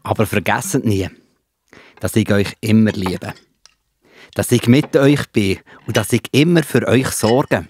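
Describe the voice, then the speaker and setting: A man with a Swiss German accent playing a caring, solemn teacher in a children's Bible audio play, calm and earnest. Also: fairly low pitch, measured pace, breathy edge.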